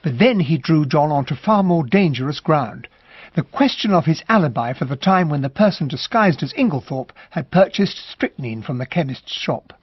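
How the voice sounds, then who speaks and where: Husky gentlemanly English captain, narrating closely. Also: male voice, fairly high pitch, lively intonation, dry recording. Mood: measured, reflective. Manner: measured, literate storytelling. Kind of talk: scripted first-person radio drama narration. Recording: close, dry, slightly dull studio sound.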